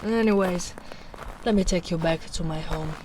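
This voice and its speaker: A young woman playing a gentle, protective female ogre in a soft-spoken ASMR roleplay, speaking slowly and soothingly with quiet breathy asides. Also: fairly low pitch, a little hiss or hum, natural voice, rough recording.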